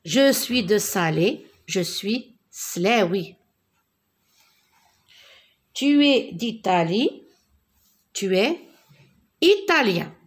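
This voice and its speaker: A woman's voice: mid-range voice, sing-song, extremely slow, pauses often, husky. A woman with a Moroccan accent teaching a primary school French lesson, repeating words and spelling out letters one by one.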